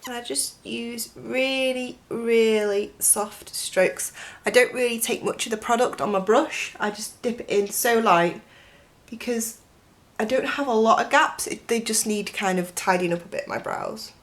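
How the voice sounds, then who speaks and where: A young woman with a croaky voice from a chest infection, chatting through a makeup tutorial in a gushing way, full of "like" and "um", close to the camera in a bedroom. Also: fairly high pitch, a little slow. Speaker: beauty vlogger. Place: bedroom with a dressing table, indoors.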